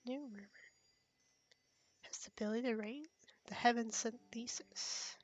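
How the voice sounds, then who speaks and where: A woman's voice: a little high, fairly even intonation, moderate pace. A woman streaming a video game, giving casual commentary as she plays.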